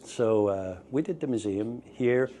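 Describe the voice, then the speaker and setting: A man's voice: mid-range voice, animated, a little slow, mellow, a little hiss or hum. A middle-aged man from Belgium, a museum founder eagerly telling his story in slightly broken English during an interview. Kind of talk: on-location interview. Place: museum, indoors.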